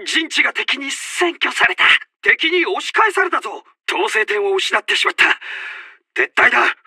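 High-pitched, urgent army officer. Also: male voice, big pitch swings, moderate pace, bright, forceful tone, slightly rough, no room sound, studio quality, exaggerated voice. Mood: alarmed, stern. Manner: commanding, intense, urgent. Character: urgent male Japanese army officer announcing battle updates. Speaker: battle announcer. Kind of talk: military video game announcements, short barked lines.

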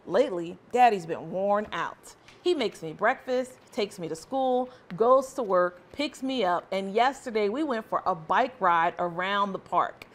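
Woman reading as an animated, proud little girl. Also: medium pitch, measured pace, few pauses. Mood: proud, excited. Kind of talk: children's storybook read-aloud.

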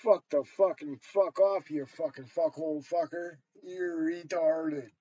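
A man's voice: on the high side, fairly even intonation, measured pace, mellow, husky, no echo. An American man in his sixties giving a blunt, emphatic, profanity-heavy rambling monologue to a camera in a small room. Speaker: storyteller and vlogger. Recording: dull, lo-fi webcam sound.

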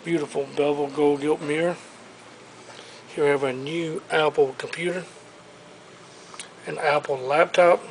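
A man with a slightly high voice walks a big warehouse showroom, rattling off furniture and collectibles in an upbeat salesman's patter. He is recorded on the camera he carries, with a faint hum of the space behind him. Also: fairly even intonation, low-quality recording. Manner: listing items briskly, upbeat salesman patter. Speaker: auctioneer. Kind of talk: walkthrough preview of auction items.

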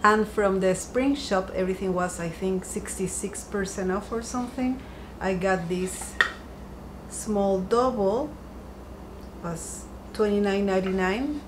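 A woman's voice: mid-range voice, noisy background. Chatty woman showing off decor finds. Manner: chatty and upbeat, lingering softly over each item. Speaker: home decor vlogger. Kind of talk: casual shopping haul chat. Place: home dining room, seated by a window.

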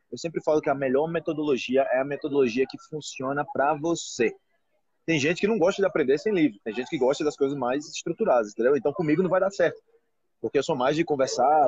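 A man's voice: medium pitch, darkish tone, slightly rough, no echo, muffled recording. A Brazilian man in his thirties, a Portuguese teacher, chatting casually and animatedly on a live video call from a restaurant, often asking "entendeu?". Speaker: Portuguese teacher and channel host. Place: restaurant, indoors. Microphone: phone held close, front camera.

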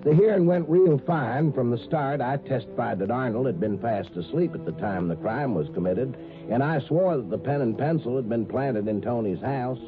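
A man's voice: mid-range voice, lively intonation, normal speed, natural voice. Casting: male actor as world-weary small-town police chief, wry, vintage radio drama, thin phone-like sound over music. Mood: sober narrating. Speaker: police chief narrator and character. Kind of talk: 1950s radio drama acting. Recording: tinny 1950s radio, music behind.

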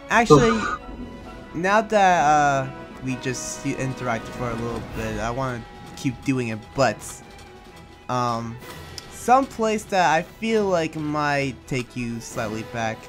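A man's voice: medium pitch, expressive, takes it slowly, a bit bright. A man playing a friendly, awkward young demon slayer in improvised game roleplay, talking in a hesitant, rambling, casual way. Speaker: roleplayer in a Minecraft anime roleplay. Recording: music playing behind the voice.

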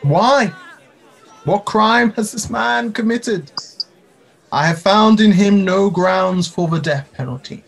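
An actor playing a stern, troubled Roman governor in an audio play, speaking formally and deliberately in a declamatory way. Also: male voice, sits high, lively intonation, vocal fry, some chatter behind, exaggerated voice. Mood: troubled, insistent. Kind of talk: dramatic audio play acting.

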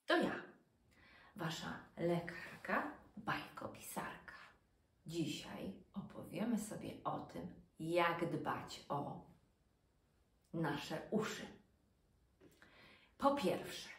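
A woman in her thirties speaks to young children in a lowish, very lively voice. She teaches like a storyteller, posing questions and pausing for effect. The room is audible around her, with some noise behind. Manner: child-directed, expressive, asking rhetorical questions with dramatic pauses. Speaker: doctor and children's storyteller presenting health tips. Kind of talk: educational talk addressed to young children. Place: small furnished room indoors. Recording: roomy, distant mic with background noise.

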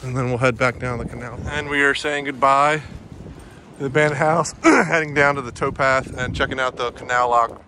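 Guy doing a casual hiking vlog, kinda halting and matter of fact, bright voice, bit of outdoor noise on the phone mic. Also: mid-range voice, steady tone. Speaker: hiking vlogger. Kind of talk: casual walk-along narration. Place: outdoors in woods by a river.

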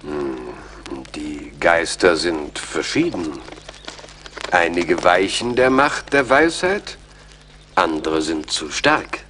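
A resolute frontier-era officer in a radio drama. He has a fairly low voice and a formal, earnest way of speaking. There is faint noise behind the voice. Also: animated, takes it slowly, pushed and bright, exaggerated voice. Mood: solemn bluffing. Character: resolute army major, brave and formal. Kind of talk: German radio play acting.

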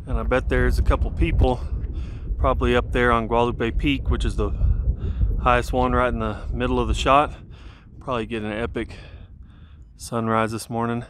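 A man's voice: medium pitch, moderate pace, noisy background. A man in his thirties hiking outdoors, filming himself at arm's length and chatting casually with fillers and restarts. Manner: relaxed rambling with fillers and restarts. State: walking downhill. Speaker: hiker vlogger. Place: outdoors on a mountain trail. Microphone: camera held at arm's length while walking.

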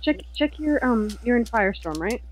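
A woman's voice: a little high, expressive, moderate pace, low-quality recording. A woman chatting casually and narrating her part in a fantasy roleplay. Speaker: roleplay co-player. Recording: faint background noise.

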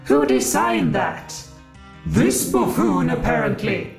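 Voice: medium pitch, huge pitch swings, slow, vocal fry, heavily acted. An actor playing an exasperated, sarcastic, indignant God in a satirical audio drama. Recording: roomy echo with music.

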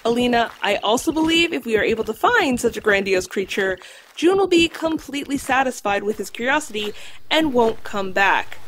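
A sensible female dog who talks played by a woman in an audio drama. She speaks formally and precisely in a highish, lively voice that swings in pitch. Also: a little hiss or hum. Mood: instructive. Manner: formal, precise, measured wording.

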